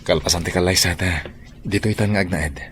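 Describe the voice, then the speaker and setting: An actor playing an earnest, devoted young suitor in an Ilocano radio drama, with an Ilocano accent. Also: male voice, low-pitched, fairly quick, a bit bright, slight background noise. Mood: devoted, reassuring. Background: background music.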